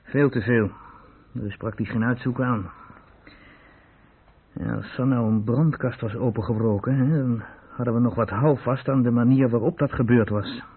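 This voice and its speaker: An actor playing a weary, frustrated police inspector who thinks aloud deliberately in a Dutch radio play. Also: male voice, mid-range voice, steady tone, breathy edge. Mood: musing. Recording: tinny, narrow-band old radio recording.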